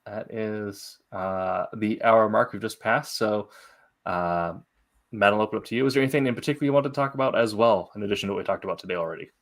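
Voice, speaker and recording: A man hosting a podcast in a casual, halting way, with many pauses as he goes. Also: on the low side. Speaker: podcast host.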